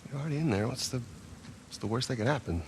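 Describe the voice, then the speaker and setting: A man's voice: mid-range voice, lively intonation, fast, a little hiss or hum, close to an everyday voice. A man acting as a cocky, eager treasure hunter in a video game, breezy and sardonic. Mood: breezy coaxing. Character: cocky, eager adult male treasure hunter, older brother. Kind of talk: naturalistic cinematic game dialogue. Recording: cinematic music behind dialogue.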